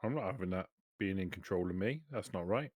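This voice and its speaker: A man's voice: low-pitched, brisk, darkish tone, no echo. A man speaking in character as a tabletop game player, casually and in short, laid-back phrases.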